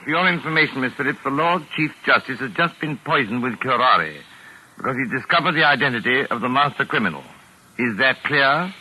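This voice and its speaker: An actor playing a pompous, touchy mystery novelist with precise, exasperated formal diction, in 1940s radio drama style. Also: male voice, mid-range voice, animated, a little fast, pushed and bright, full character voice, rough recording. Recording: raspy vintage 1940s radio recording.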